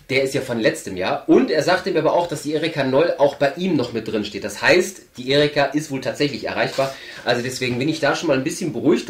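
A young man with a stuffy nose, chatting casually on camera from a table indoors as he opens an advent calendar, rambling with lots of hesitant fillers. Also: mid-range voice. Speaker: channel host. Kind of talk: casual chat while opening an advent calendar. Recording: roomy, echoey room sound.

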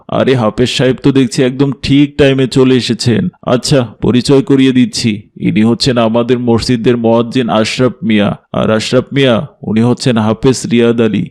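A man playing a strict, pious middle-aged father and mosque president in a cartoon, with a measured, authoritative, formal manner. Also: mid-range voice, a little mellow, lightly acted.

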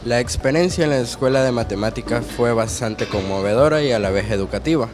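A young man, a high school student speaking earnestly and a bit formally in an interview with a handheld mic. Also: a little fast, over music. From El Salvador. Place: university event hall, indoors.

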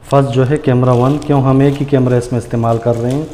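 A man's voice: medium pitch, steady tone, quick pace, dark tone. A man giving a casual hands-on tutorial, explaining step by step and addressing viewers as friends. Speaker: tutorial host. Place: indoors in a tiled room, hands at a granite counter. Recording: echoey hard-walled room, noisy.

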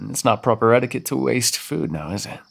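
A man's voice: mid-range voice, lively intonation, moderate pace, creaky. A man voicing a centuries-old male vampire in an audio roleplay, condescending and teasing with sudden threats. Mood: menacing.

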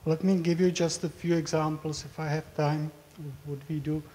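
A man's voice: on the high side, little pitch movement, normal speed, warm tone, big-room sound. A man, giving an academic research talk, hesitant, with frequent fillers and repeated words. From Czech Republic. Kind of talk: academic presentation.

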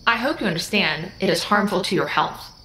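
A woman's voice: medium pitch, animated, a little fast, a bit bright, echoey room, a little hiss or hum, rough recording. A woman playing an emotionless, curious alien-human hybrid in an audio drama, speaking formally, precisely and very literally. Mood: detached, literal.

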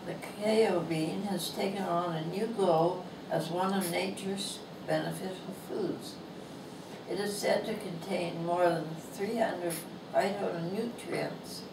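Slow, gravelly woman in her nineties. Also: on the low side, fairly even intonation. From Canada. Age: 90s. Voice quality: thin, not a strong voice. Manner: slow, gentle and amused, with soft chuckles. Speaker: author reading her book. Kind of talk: reading her own humorous essay aloud. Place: bookstore, indoors. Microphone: lapel mic, filmed from across the room. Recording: echoey shop sound from a distance, background noise.

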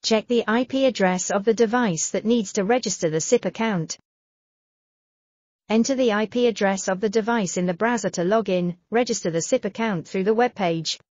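Woman, scripted tutorial narration, measured instructional delivery, very dry, lo-fi dull audio. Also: medium pitch, a little mellow, no room sound.

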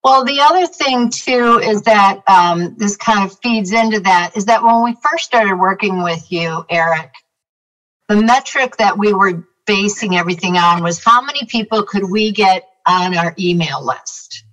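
A woman's voice: mid-range voice, a little slow, fairly bright tone, recorded from a distance, rough recording. A woman from a winery speaking in a podcast interview, earnest and explanatory. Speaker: winery representative.

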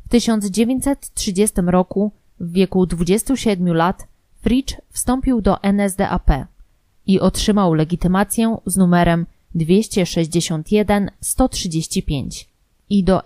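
A woman's voice: medium pitch, warm tone, no echo. A woman reading scripted biographical documentary narration. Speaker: narrator of a true-crime history audio programme.

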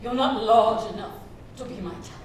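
A middle-aged woman acting a defiant, scornful woman in a dramatic stage reading, deliberate and cutting. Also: on the high side, natural voice. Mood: cold contempt. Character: defiant, scornful woman confronting her aunt's killer. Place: large theater stage, indoors. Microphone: far from the speaker on stage. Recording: echoey hall, distant mic, faint noise.

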